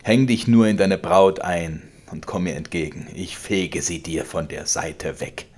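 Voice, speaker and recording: A man reading a story voices a domineering old father who rants loudly, mocking and accusing his son. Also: low voice, measured pace. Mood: scornful. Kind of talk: audiobook character voice.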